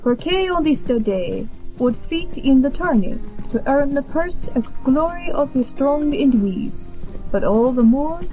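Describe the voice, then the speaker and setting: Young woman reading an old timey tale, real slow, soft dark tone, music behind. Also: high-pitched, poor audio. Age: young adult. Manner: measured, declamatory. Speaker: storyteller, college student. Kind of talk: scripted narration of an archaic verse tale. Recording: music under the voice.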